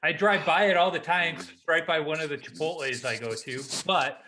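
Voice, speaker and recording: A man's voice: on the high side, brisk, over a noisy crowd, rough recording. A man hosting a podcast, chatting in an easygoing, supportive way with his guest. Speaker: podcast host. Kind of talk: casual podcast chat.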